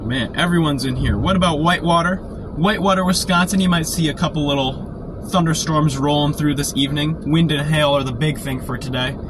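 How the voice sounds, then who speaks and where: A man with a sore throat from a cold, keeping his voice quiet and low while chatting casually on a live stream from inside a parked car. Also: a little fast. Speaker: storm chaser live-streaming. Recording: noisy car interior. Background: car and outdoor traffic noise.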